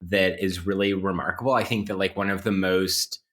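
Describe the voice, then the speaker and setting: A man's voice: deep voice, fairly even intonation, brisk, fairly bright tone. A man talking casually and analytically on a podcast, wry and academic, circling around his points as he explains. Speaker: podcast co-host playing interview guest.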